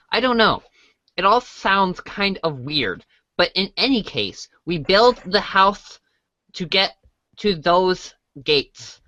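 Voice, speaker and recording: A woman's voice: mid-range voice, pushed and bright, no room sound, low-quality recording. A woman playing a naive, good-natured young boy hero in a storybook read-along, earnest and hesitant.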